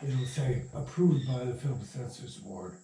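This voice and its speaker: A man's voice: mid-range voice, expressive, unhurried, vocal fry, dark tone, slight background noise. An older man being interviewed, telling anecdotes in a casual, rambling way. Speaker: interview guest. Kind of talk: casual storytelling reminiscence. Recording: roomy echo, distant from mic.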